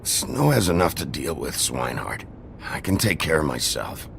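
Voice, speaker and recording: An actor playing a tough, wounded, stoic sheriff in a video game, gruff, guarded and terse. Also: male voice, a bass voice, sing-song, normal speed, fairly bright tone, a little hiss or hum, heavily acted. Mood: stoic, reassuring.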